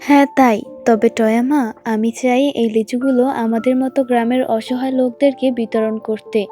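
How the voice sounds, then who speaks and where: Woman acting a high-voiced caring village mother. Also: fairly even intonation, moderate pace, close to an everyday voice. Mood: earnest, generous. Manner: gentle motherly endearments, earnest. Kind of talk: children's cartoon voice acting. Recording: loud music behind the voice. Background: children's music.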